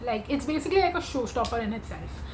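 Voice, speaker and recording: A woman, chatting to camera as a beauty vlogger in a gushing, filler-heavy way, her voice going hoarse. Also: fairly high pitch, animated, fast, darkish tone, roomy sound, slight background noise. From India. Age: 20s. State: losing her voice. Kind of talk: casual makeup chat to camera.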